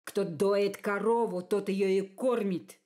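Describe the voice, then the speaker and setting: A woman's voice: mid-range voice, steady tone, slow pace, warm tone, close to an everyday voice. A woman reading the part of a bold, hot-tempered youth in a storybook, in an emphatic, declamatory manner. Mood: furious, defiant.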